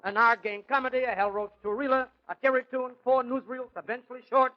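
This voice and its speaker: A muffled vintage radio recording of a haggling cinema owner. His voice is extremely high, and he sells and wheedles in broad 1940s comedy style. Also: steady tone, brisk. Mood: proud, matter-of-fact. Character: haggling, fast-talking small cinema owner.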